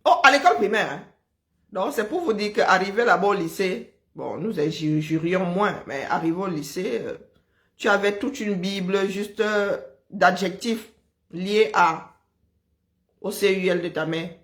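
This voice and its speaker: A woman from Cameroon with a Cameroonian French accent, chatting casually and telling lively stories, often breaking into laughter. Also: mid-range voice, unhurried. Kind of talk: casual chat.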